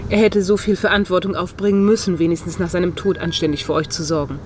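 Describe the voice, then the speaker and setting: A woman's voice: lowish voice, little pitch movement, quick pace, a little mellow, a little hiss or hum, lightly acted. Brash, cheeky woman amateur sleuth, played by an actress. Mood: determined. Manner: mocking, cheeky, decisive. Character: brash, cheeky, sharp-tongued woman friend playing detective. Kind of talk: German radio crime drama acting.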